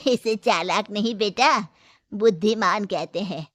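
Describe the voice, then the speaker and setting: A woman playing a shrewd, firm older mother-in-law who runs a shop, in a Hindi moral story, with a deliberate manner. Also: mid-range voice, fairly bright tone, dry recording. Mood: smug.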